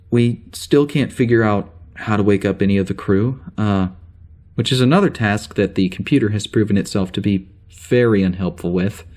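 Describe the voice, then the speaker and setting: An actor playing a troubled starship officer with amnesia, halting and rambling as he records a log in a naturalistic audio drama. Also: on the low side, darkish tone, lightly acted. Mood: subdued, frustrated. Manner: halting, rambling, self-interrupting.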